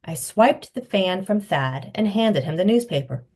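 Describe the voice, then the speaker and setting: A woman's voice: medium pitch, unhurried. A woman author reading her children's novel aloud, telling the story expressively. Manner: expressive storytelling, acting out the dialogue.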